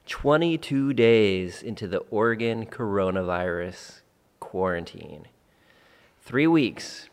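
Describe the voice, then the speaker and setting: A man's voice: lowish voice, lively intonation, takes it slowly, smooth, in a large hall. A man hosting a podcast, talking reflectively and repeating phrases for emphasis, then interviewing a guest. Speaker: podcast host. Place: Central Oregon.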